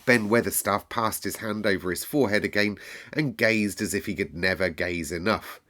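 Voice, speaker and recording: A man reading a story aloud as narrator, expressive and dramatic, with deliberate emphasis. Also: on the low side.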